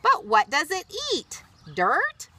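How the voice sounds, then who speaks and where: A woman's voice: sits high, wildly swooping pitch, takes it slowly, pushed and bright, distant mic, pitched above the performer's own voice, exaggerated voice. A woman voicing a squeamish, curious young child from a storybook, exclaiming and asking questions.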